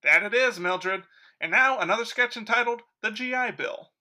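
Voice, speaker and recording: Man acting as chipper vintage radio host, very sharp forceful tone, high voice, showman announcer. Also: normal speed. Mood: chipper, polished. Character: chipper male old-time radio host.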